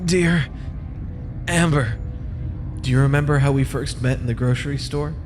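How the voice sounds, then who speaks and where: A man's voice: mid-range voice, normal speed, lightly acted. An actor playing a lonely, sickly, heartbroken young man in a comic dub, earnest and emotive. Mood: tender, sorrowful. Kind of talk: dramatic comic dub acting. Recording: long reverb, music behind.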